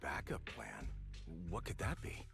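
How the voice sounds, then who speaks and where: An actor playing a wary, no-nonsense company field worker in a video game. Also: male voice, low-pitched, huge pitch swings, a little slow, vocal fry, heavily acted. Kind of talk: video game dialogue acting. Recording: game audio with music behind.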